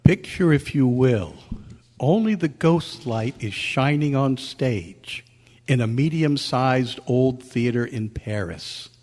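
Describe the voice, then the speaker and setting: Playwright-narrator, male, mid-pitched, earnest measured delivery, long hall reverb. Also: animated, a little mellow. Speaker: playwright and director. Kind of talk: spoken introduction and slow play narration. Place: convention meeting room before an audience.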